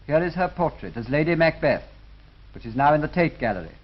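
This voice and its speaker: A man with a British accent narrating a scripted 1930s film in a formal, measured way. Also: fairly high pitch, lively intonation. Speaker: documentary narrator. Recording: dull lo-fi 1930s film soundtrack.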